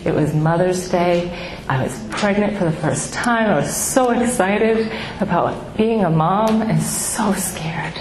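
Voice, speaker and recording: Soft-voiced woman in an echoey room. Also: on the low side. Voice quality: soft, small voice she calls baby-like. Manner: halting, many ums and restarts, heartfelt. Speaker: speaker at a grief support gathering. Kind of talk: personal testimony to an audience. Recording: echoey room with background noise.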